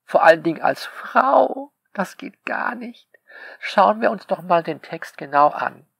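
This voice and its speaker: A man talks to his listeners in a slow, unhurried drawl. His voice is gravelly with fry. He sounds sarcastic and polemical, and his delivery is full of rhetorical questions, recorded dry and close with no room sound. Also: high voice, very animated, fairly bright tone, no echo. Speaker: author reading commentary on his novel. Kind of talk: spoken monologue to listeners.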